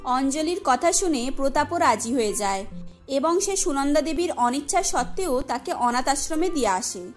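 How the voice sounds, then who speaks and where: High-pitched woman narrating a story. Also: lively intonation, moderate pace, faint music behind. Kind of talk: scripted cartoon story narration.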